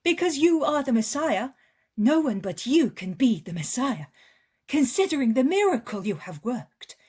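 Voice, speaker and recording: A woman's voice: high voice, very animated, normal speed, dark tone, husky, pitched above the performer's own voice, heavily acted. A woman voicing a worn-out, anxious mother from a poor village who pleads and complains in a raised voice. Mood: pleading.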